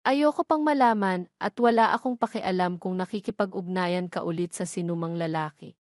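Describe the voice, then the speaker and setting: A woman's voice: on the low side, normal speed, a little mellow, dry recording, studio quality, close to an everyday voice. A woman voicing a stern, jealous father who scolds reproachfully in an audio story. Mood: angry scolding.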